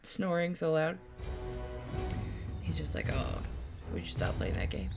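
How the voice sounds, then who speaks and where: Girl gamer doing casual commentary, kinda low voice, really unhurried, mutters to herself, game music behind. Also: very animated, creaky. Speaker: gaming video host.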